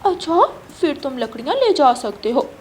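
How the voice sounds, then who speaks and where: Casting: woman as cartoon mama bird, high voice, firm but fond, over noisy ambience. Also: very animated, normal speed. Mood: mock scolding. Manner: firm, motherly, bargaining. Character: bargaining mother bird, scolding yet fond.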